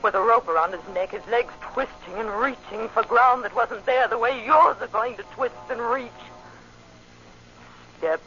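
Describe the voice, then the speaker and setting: An actress playing a grieving, vengeful young widow in a 1940s radio drama, speaking with slow, deliberate intensity. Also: female voice, on the high side, a bit bright, close to an everyday voice. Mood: menacing. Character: grieving, vengeful young widow confronting her husband's betrayer. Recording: muffled old radio recording with music.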